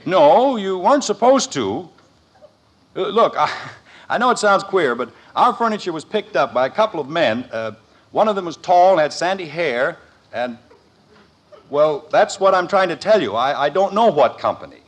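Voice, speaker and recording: A man's voice: on the high side, sing-song, moderate pace. An actor playing an exasperated middle-aged suburban father in a 1950s American radio sitcom, sputtering, hesitating and dryly sarcastic. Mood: flustered, indignant. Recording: vintage 1950s radio recording.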